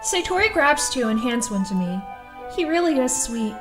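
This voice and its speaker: A woman's voice: sits high, big pitch swings, moderate pace, music in the background. A woman playing an awkward, earnest teenage schoolgirl who narrates her thoughts in an audio drama, a little hesitant. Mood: observant.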